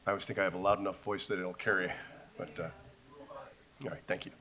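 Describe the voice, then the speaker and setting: A man's voice: low-pitched, little pitch movement, fast, pushed and bright, slight background noise. A man, a police commander, presenting a report at a public meeting, hesitant with fillers. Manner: plain, hesitant with uhs.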